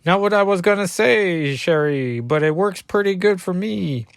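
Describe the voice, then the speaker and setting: Folksy Cajun comic-book hero, voiced by a male reader, pitched higher, easygoing adviser with a drawl, close dry sound. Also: expressive, normal speed, gravelly, dry recording. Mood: advising. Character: smooth Cajun man comic-book hero giving advice.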